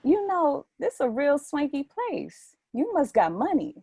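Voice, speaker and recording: A woman's voice: high voice, wildly swooping pitch, unhurried, creaky, dark tone, dry recording, clean studio sound, exaggerated voice. A woman playing a sassy, scheming young woman in a play reading, taunting and mock-sweet. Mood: taunting, smug. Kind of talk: readers theatre play reading.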